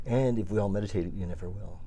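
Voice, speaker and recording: A man's voice: on the low side, quick pace, warm tone, slight background noise. A man in his fifties hosting a casual interview, rambling with many restarts, filmed in a small shop room. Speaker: host.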